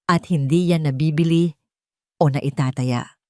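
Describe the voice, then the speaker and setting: A woman voicing a gentle, steady aging mother. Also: low voice, unhurried, no room sound, clean studio sound. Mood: tender. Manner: soft, soothing and understanding.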